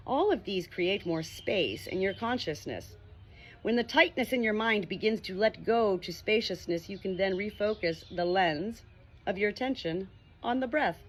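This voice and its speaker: Lady reading a meditation audiobook, measured and expressive, mid pitch, some background noise. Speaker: audiobook reader. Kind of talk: scripted book narration on meditation.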